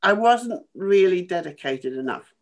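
A woman in her eighties from Kenya, a former dancer telling her life story in a halting, thoughtful way with small laughs. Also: lowish voice, animated. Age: 80s. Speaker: interview guest, former dancer. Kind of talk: casual remote interview.

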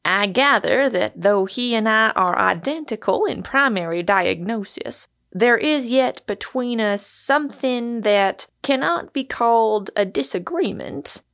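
A woman voicing a refined, pedantic American male doctor in a storybook, fastidious and deliberate, with careful pauses. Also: on the high side, expressive, vocal fry, fairly bright tone, exaggerated voice.

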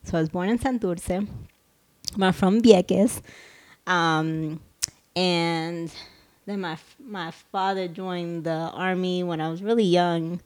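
A woman from Puerto Rico, raised in the US, co-hosting a casual podcast chat in a lively, slangy way. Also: lowish voice. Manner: lively, slangy, quick asides. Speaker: podcast co-host.

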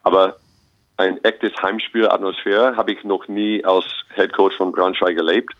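Young American coach, very low voice, positive and earnest, dry dull recording. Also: male voice, flat delivery, measured pace, dry recording. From United States. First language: English. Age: young adult. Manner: optimistic, earnest, restarts phrases often. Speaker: basketball head coach. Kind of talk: interview guest.

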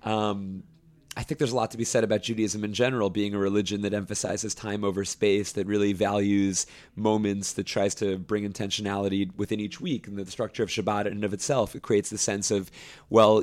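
A young man who directs a camp choir, explaining his work at length in a relaxed interview, with fillers and restarts. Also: lowish voice, a little fast. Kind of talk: conversational interview.

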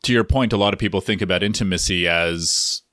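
A man hosting an interview podcast, asking measured, reflective questions. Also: low voice, fairly bright tone, no room sound, studio quality. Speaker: podcast host.

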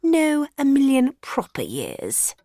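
A woman's voice: high-pitched, wildly swooping pitch, slow pace, warm tone, dry recording, studio quality, heavily acted. A woman voicing a smug, haughty pet cat with a condescending, purring drawl in a dramatised children's audiobook.

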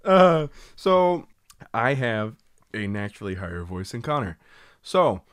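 A man's voice: medium pitch, sing-song, measured pace, clean tone, dry recording. A young man co-hosting a podcast, chatty and laughing often as he explains things. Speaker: podcast co-host. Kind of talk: casual chat.

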